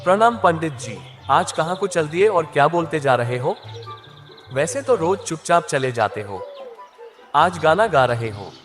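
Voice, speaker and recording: A man voicing a simple, hardworking village farmer and husband in an animated moral story, with expressive, plain-spoken delivery. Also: fairly high pitch, unhurried, faint music behind. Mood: respectful, curious.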